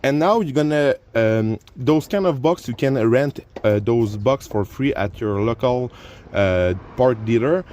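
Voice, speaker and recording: A man's voice: mid-range voice, unhurried, a little mellow. Man with a French first language. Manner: plain explanations, frequent fillers and restarts. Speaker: DIY car repair youtuber. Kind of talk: casual how-to narration while working. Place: garage or driveway by a car.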